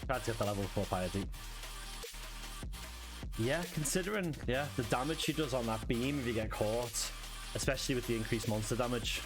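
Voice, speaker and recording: A man's voice: medium pitch, fairly quick, long pauses. A man with a Liverpool accent, chatting casually on his game stream, thanking viewers and chuckling often. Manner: chatty, thanks chat, frequent chuckles and fillers. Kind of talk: relaxed live-stream chat. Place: home. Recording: clear background music. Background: music.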